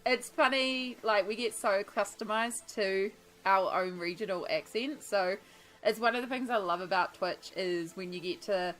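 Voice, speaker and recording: A woman's voice: mid-range voice, moderate pace, a bit bright, rough recording. A young woman from New Zealand with a New Zealand accent, chatting casually and laughing as she reads out her live stream chat while colouring.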